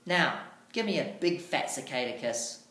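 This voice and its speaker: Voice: mid-range voice, lively intonation, a little slow, fairly bright tone. A teacher voicing an eager, pushy female ladybug stepsister in a storybook script, exclaiming emphatically. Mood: demanding. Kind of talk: readers theatre storybook reading. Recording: echoey room, faint background noise.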